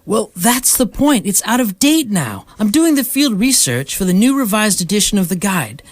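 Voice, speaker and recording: A woman's voice: mid-range voice, very animated, moderate pace, dry recording. Breezy, unflappable space hitchhiker played by a woman, dry close sound. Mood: breezy, explaining. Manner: matter-of-fact, explanatory. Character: breezy, unflappable seasoned galactic hitchhiker and guide researcher. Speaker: radio drama actor. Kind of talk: radio comedy dialogue.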